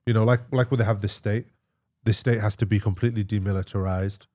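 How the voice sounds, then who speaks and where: Low-pitched man chatting casually. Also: steady tone, quick pace, mellow. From Australia. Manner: informal, matey, repeats himself. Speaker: interview guest, emergency physician. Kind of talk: casual conversation.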